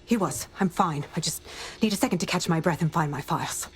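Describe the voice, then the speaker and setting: An actress playing a determined female police inspector in a video game, speaking in a terse, grim, deliberate way. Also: deep voice, natural voice. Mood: wary, winded. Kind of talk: dramatic video game acting.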